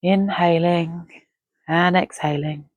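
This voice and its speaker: A woman guiding a relaxation meditation in a gentle, measured, soothing way, leaving long pauses between phrases. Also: fairly low pitch, steady tone, no room sound. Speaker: meditation guide. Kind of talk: guided Yoga Nidra relaxation, soothing and measured.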